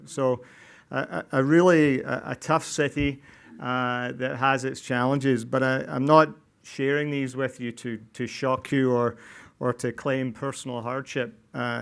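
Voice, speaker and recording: A middle-aged man from Glasgow with a Scottish accent, giving a public talk about his housing work, halting with fillers and restarts. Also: mid-range voice, vocal fry, clean tone. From Scotland, Glasgow. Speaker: non-profit housing developer. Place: event venue before an audience.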